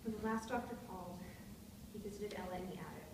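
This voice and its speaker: A woman's voice: fairly low pitch, quick pace, lightly acted. A woman playing an anxious, melodramatic widowed stepmother with frail nerves in a dramatic stage reading of a period play, speaking in formal, ornate phrases. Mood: distressed, impassioned. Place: hall at a live staged reading. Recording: echoey noisy hall, distant microphone.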